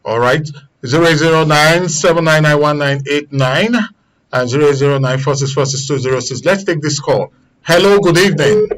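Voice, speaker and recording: A Nigerian man hosts a live radio phone-in with a bright, forceful voice pitched fairly high. He is courteous and brisk, steering callers and guests along. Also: poor audio. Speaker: radio and TV host. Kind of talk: live current-affairs phone-in hosting.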